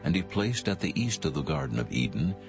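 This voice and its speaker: A man narrating scripture in a formal, measured and solemn scripted style. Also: very deep voice, very animated, over music.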